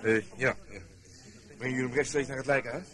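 A man's voice: medium pitch, fairly even intonation, fast, fairly bright tone, close to an everyday voice. An actor in a radio play playing an authoritative policeman, courteous and official. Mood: polite, official. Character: authoritative, polite policeman at accident scene.

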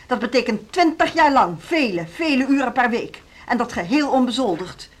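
A dignified headmistress with mobile pitch. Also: female voice, lively intonation, moderate pace. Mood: indignant. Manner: formal, reproachful. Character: dignified, loyal, wounded music school headmistress. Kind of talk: Dutch radio drama acting.

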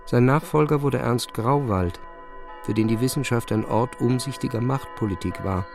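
Haunted young male physicist, played by an actor, introspective first-person audio-drama narration, gravelly fry, music behind. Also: medium pitch, natural voice. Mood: absorbed, brooding. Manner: introspective, halting, intense. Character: obsessive, haunted young male physicist telling his story.

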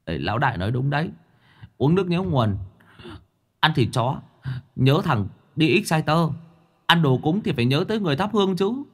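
A man voicing a talkative male ghost who cracks jokes quickly. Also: a little high, very animated, voice pushed up. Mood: jokey. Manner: fast, joking, rambling. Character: chatty male ghost, loose-tongued joker.

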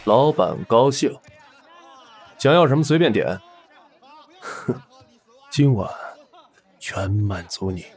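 A man's voice: mid-range voice, lively intonation, takes it slowly, long pauses, darkish tone. A man playing a suave, scheming boss, smug and teasing with a threat underneath, in a Chinese audio drama. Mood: amused, teasing. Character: suave, scheming male boss, indulgent and menacing.

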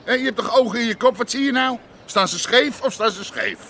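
An actor playing a short-tempered, domineering underworld boss who barks and curses in a radio drama. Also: male voice, very high-pitched, brisk, sharp tone, slight background noise, exaggerated voice. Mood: irritated, commanding. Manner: barking, cursing, commanding. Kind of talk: Dutch radio drama acting.

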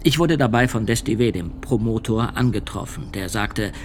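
A man's voice: fairly low pitch, slight background noise, natural voice. A man playing a grave church usher witness. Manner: measured, sombre telling, hushed at times. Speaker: witness testifying at a trial. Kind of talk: radio drama testimony. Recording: music under the voice.

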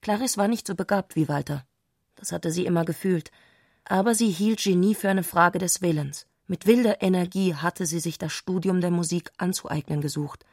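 A woman's voice: on the low side, moderate pace, a little mellow, no echo, studio quality. A woman reading scripted literary prose as a narrator in a radio adaptation.

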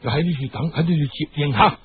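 Guy putting on a sneaky cantonese smuggler middleman in a story, low hushed n pushy, kinda husky, muffled radio audio. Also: fast talker, no room sound. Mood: hushed, urgent. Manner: hushed, secretive, insistent. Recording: muffled, band-limited radio-style recording.

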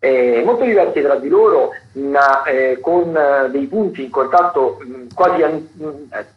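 A man's voice: mid-range voice, a little slow. A male radio host from Rome presenting the week's theatre listings. He hesitates a lot, with many fillers and restarts. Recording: dull lo-fi radio broadcast.

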